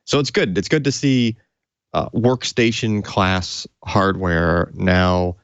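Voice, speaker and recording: A man co-hosting a tech podcast, chatting casually and haltingly, repeating words and leaning on filler phrases. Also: low-pitched, steady tone, clean tone, no room sound, dull audio. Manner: halting, repeats words, peppered with "you know". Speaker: podcast co-host.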